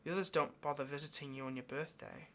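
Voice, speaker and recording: A man's voice: fairly high pitch, steady tone, fast talker, a bit bright, slight background noise. A man playing a lonely, clingy yandere demon in an intimate audio roleplay, speaking tenderly and hesitantly straight to the listener with obsessive devotion. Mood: devoted, yearning.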